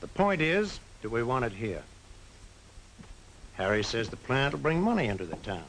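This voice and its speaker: An actor playing a sober, principled small-town man in a 1950s film drama, speaking earnestly and deliberately on an old soundtrack. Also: medium pitch, big pitch swings, fairly bright tone, a little hiss or hum, poor audio. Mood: grave, earnest.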